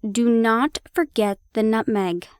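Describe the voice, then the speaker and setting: A woman acts a harried, loving young girlfriend in an audio roleplay. Her voice is high and expressive, with a teasing, coaxing way of talking. The recording is close and dry. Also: slow pace, clear, resonant tone, dry recording. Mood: coaxing, busy.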